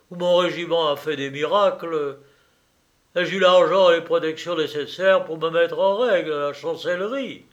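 Man acting a loud, blustering proud colonel. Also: high-pitched, muffled recording, pitched above the performer's own voice, natural voice. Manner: emphatic, declamatory.

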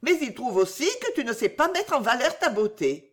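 A woman's voice: on the high side, big pitch swings, normal speed, pitched above the performer's own voice. A woman voicing a playful, coquettish young woman who teases with an ingenuous, familiar manner in a storybook reading. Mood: mischievous. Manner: teasing, insistent, familiar.

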